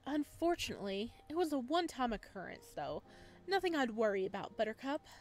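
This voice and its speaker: A woman voicing a gentle female pharmacist from a visual novel, soft-spoken and pointed, icily disapproving of her rival. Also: on the high side, very animated, brisk. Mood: guarded.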